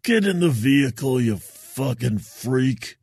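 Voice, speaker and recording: A man voicing a hostile space mercenary team leader in an audio story, rough and aggressively commanding. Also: mid-range voice, expressive, takes it slowly, darkish tone, dry recording, heavily acted. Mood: barking, hostile.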